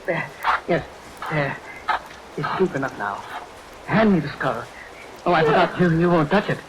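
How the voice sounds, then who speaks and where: An actor playing a nervous, evasive man hiding guilt, halting and apologetic, in vintage radio thriller style. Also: on the high side, lightly acted. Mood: uneasy, scheming. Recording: vintage radio recording, hiss, rain behind.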